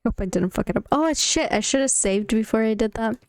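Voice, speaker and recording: A woman's voice: a little high, lively intonation, a little fast, a little mellow, no room sound. Chatty Spanish-speaking woman streaming a game. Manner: chatty, stumbles over words and laughs it off. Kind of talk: casual game playthrough, reading dialogue aloud.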